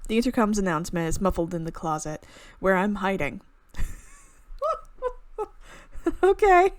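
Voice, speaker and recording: A woman streaming a visual novel, reading the narration aloud with expressive, playful reactions. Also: mid-range voice, measured pace, no echo. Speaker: game streamer.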